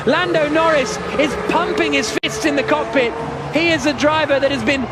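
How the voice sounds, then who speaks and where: A man giving live race commentary, building to excited shouting at the finish. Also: very high voice, normal speed, over loud music, a loud crowd. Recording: loud engine noise behind commentary. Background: race cars.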